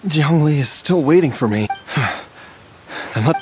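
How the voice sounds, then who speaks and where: A man playing an ailing, troubled but determined young man in a video game, speaking in a halting, strained way. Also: a little high, lively intonation, darkish tone, a little hiss or hum. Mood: weary, determined. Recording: music behind the voice.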